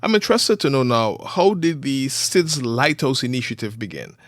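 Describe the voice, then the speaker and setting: A man hosting an interview show, introducing guests and asking clear, earnest questions. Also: mid-range voice, very animated, moderate pace, no room sound, studio quality. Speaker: host.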